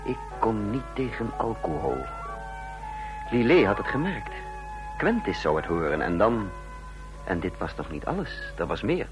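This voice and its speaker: Uneasy secret agent, musing. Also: male voice, on the low side, very animated, a little slow, long pauses, over music. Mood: tense confession. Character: uneasy male secret agent impostor, narrating his story. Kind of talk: Dutch radio drama acting and first-person narration.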